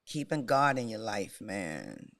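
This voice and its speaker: A woman's voice: very animated, takes it slowly, a bit bright. A middle-aged woman, chatting casually with her sons on a podcast. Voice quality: husky, deep. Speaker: podcast guest, mother of the host. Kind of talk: casual family chat.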